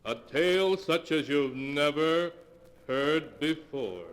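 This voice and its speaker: An actor playing an eerie keeper of macabre tales, savouring words in drawn-out 1940s radio horror style. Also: male voice, a little high, lively intonation, long reverb tail. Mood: gleefully sinister. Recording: faintly noisy 1940s radio recording.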